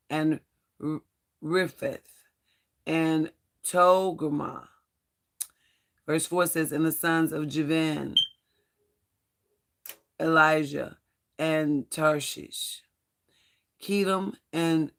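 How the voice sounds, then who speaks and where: A hoarse Bible study host reading scripture slowly and with care. The voice is gravelly and frayed, and the host pauses and stumbles over the ancient names. Earnest spiritual asides come between the verses. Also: medium pitch, a bit bright. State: hoarse, losing voice.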